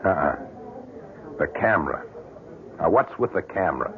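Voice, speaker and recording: Blunt, low-voiced police inspector, old radio. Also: male voice, expressive, normal speed. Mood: pressing. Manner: blunt, interrogating, slangy. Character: hard-nosed, pushy male police inspector, sceptical and blunt. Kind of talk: old-time radio drama acting. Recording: narrow-band vintage radio recording.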